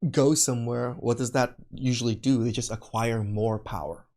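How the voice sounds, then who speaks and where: A man's voice: mid-range voice, fairly even intonation, normal speed, a little mellow. A man hosting a podcast, reacting in a casual, opinionated and conversational way. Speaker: podcast host reacting to a video.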